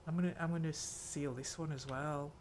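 An older woman hosting a gameplay video, muttering her thoughts aloud in an unhurried way. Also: low-pitched, steady tone, darkish tone, a little hiss or hum. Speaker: gaming channel host. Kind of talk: let's play commentary.

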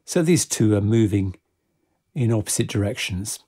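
Man, tutorial instructor, dark husky tone, step-by-step, methodical, unscripted. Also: lowish voice, expressive, normal speed. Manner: methodical, explaining each step as he works.

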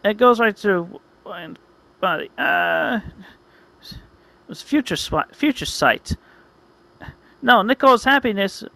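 An earnest, self-styled champion of the card duel , acted out by a male storyteller. The voice is raised and piercingly bright, declaiming with melodrama in an amateur anime-style tale. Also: sits high, very animated, slow, vocal fry, pitched above the performer's own voice, full character voice. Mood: alarmed.

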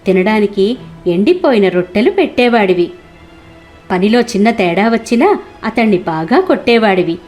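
A woman's voice: mid-range voice, animated, normal speed, darkish tone, soft background music, lightly acted. A woman voicing a compassionate, all-knowing holy man who solemnly explains things in a cartoon moral story. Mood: solemn, explaining.

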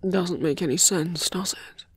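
A man voicing a seductive, smug fantasy villain in an ASMR roleplay, coaxing in a breathy, teasing whisper close to the mic. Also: sits high, a little slow, no room sound. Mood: smug concern. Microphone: close dry microphone.

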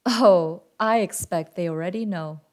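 A big daddy wolf from a picture book, voiced by a woman. He is proud and proper, teaching gently in an unhurried, earnest manner. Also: fairly low pitch, pitched below the performer's own voice, natural voice. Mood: fond.

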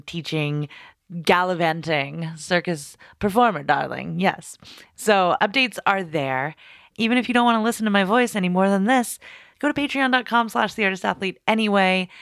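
A woman's voice: lowish voice, no echo, clean studio sound. A woman hosting a podcast, chatty and playful, recorded at home in a bathroom. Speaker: podcast host. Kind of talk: casual podcast intro.

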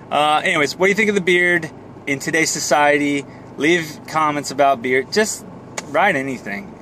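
A man's voice: on the high side, a bit bright. A man in his thirties rambling casually to camera while driving, with a joking, self-deprecating manner. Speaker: vlogger. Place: inside a moving car. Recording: car cabin with road and fan noise. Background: car and air noise.

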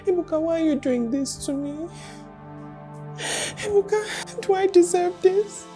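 A woman's voice: very high voice, unhurried, dark tone, faint music behind. A woman playing a lovesick employee who reproaches her married boss, pleading and tearful by turns. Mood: distraught. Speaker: office assistant.

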